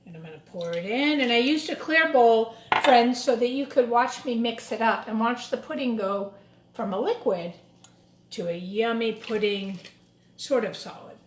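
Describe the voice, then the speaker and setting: A woman with a slightly high voice leads a cooking demonstration for children. She explains each step in simple phrases and speaks to the young audience directly. The room is noticeably echoey, with clear noise and clatter of utensils behind her. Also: moderate pace. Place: indoors in a kitchen. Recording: roomy echo, clattering kitchen noise.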